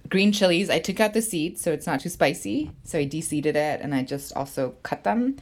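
A woman's voice: low-pitched, animated, normal speed. A middle-aged woman from Canada, born in Sri Lanka, talking casually as an interview guest and explaining things in an informal way. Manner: informal, explanatory, frequent 'like' hedging. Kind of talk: casual chat and cooking walkthrough.

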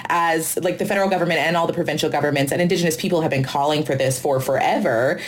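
A woman's voice: sits low, fast talker. A woman talking in a casual, opinionated, conversational way, often dropping "like" into her sentences. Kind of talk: casual discussion.

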